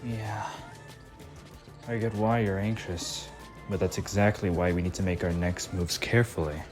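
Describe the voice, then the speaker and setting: A man voicing a deep, wry, cautious ally. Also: fairly even intonation, over music, lightly acted. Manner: measured, reasoning, slightly wry. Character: laid-back, cautious adult man, a sword-world ally. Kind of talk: anime fan dub acting.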